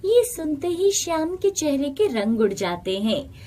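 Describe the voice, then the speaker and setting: Female storyteller for scripted tales: higher register, markedly slow reading pace. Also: sing-song, dark tone.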